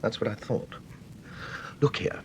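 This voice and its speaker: An actor playing an anxious, agitated English widower in a radio mystery drama, well-spoken and excitable with a British accent. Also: male voice, measured pace, slight background noise, close to an everyday voice. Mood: urgent, suspicious.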